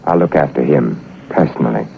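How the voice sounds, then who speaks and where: A man's voice: a bass voice, a little fast, vocal fry, a little hiss or hum, exaggerated voice. An actor playing a dry, skeptical male intelligence investigator in a 1940s radio drama, speaking in a wry, clipped, probing way. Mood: wry, guarded. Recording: tinny narrow-band old radio recording.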